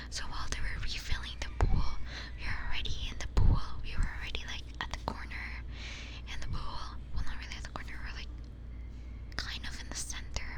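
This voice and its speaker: A woman streamer chatting casually in a breathy ASMR whisper close to a binaural mic, informal and sweary, often repeating her phrases. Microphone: close binaural ear microphone.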